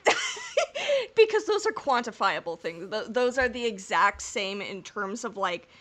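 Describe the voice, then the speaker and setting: A woman's voice: a little high, huge pitch swings, measured pace, fairly bright tone. A woman co-hosting a podcast, chatting casually with jokes and laughter, then reading a plot summary. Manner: laughing, joking, rambling. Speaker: podcast co-host. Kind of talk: casual chat and episode summary.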